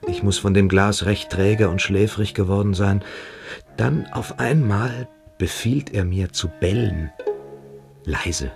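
An actor playing a submissive, evasive boarding-school pupil in a German radio play, hesitant and coquettish. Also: male voice, on the low side, takes it slowly, creaky, music in the background. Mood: hesitant, confiding.

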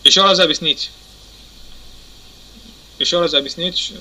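A man teaching a religious lesson. He pauses often, corrects himself, and keeps asking if his listeners understand. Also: fairly high pitch, bright, forceful tone, rough recording. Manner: halting, many fillers and self-corrections. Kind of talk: explanatory lesson.

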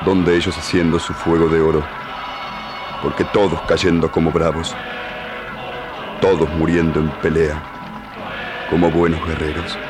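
Low-voiced commanding Pampas chief, declamatory broken Spanish, radio drama over prominent music. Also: male voice, level tone, measured pace, pauses often. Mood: solemn, mournful.

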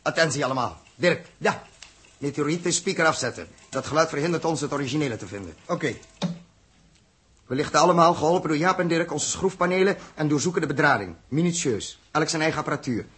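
An actor playing a serious, authoritative spaceship captain in a 1960s Dutch radio drama, with a Netherlands accent. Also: male voice, fairly high pitch, animated, fast talker, a bit bright, breathy edge. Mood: commanding. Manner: authoritative, clipped orders. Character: commanding, terse male spaceship captain, serious and urgent. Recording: noisy 1960s radio recording.

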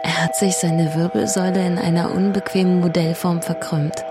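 Actress doing bitter wounded woman monologue in a radio drama, slightly low voice, pauses between phrases, loud music behind. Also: flat delivery, lightly acted. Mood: bitter reflection. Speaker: monologue performer in a radio play.

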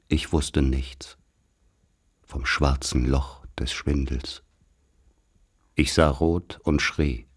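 An actor in a radio play playing a grave man who recalls a dark rural childhood, speaking in solemn, measured, poetic phrases with a husky voice. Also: extremely low pitch, exaggerated voice. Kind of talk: literary radio play monologue.

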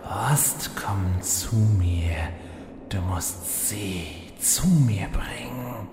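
An actor playing a sinister, commanding spirit that speaks inside a warrior's head in a parody fantasy audio play. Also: male voice, fairly low pitch, very slow, over music. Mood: eerie coaxing. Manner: commanding, scheming, exasperated. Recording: cavernous echo, long reverb, hissy.

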